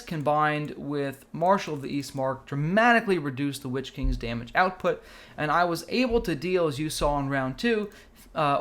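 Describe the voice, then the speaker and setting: A man's voice: on the high side, fairly bright tone. A man hosting a gaming video, giving analytical commentary with announcer-like hype and addressing his viewers directly.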